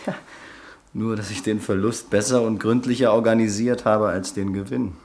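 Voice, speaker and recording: A man's voice: lowish voice, steady tone, normal speed, a little mellow, close to an everyday voice. An actor playing a dreamy man who has lost his fortune, speaking in a wistful, hesitant way.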